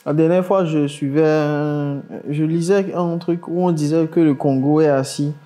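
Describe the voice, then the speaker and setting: Curious man with a slightly high mellow voice. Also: steady tone, a little slow. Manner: curious, questioning, thinks aloud with fillers. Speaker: podcast host. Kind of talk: casual podcast conversation.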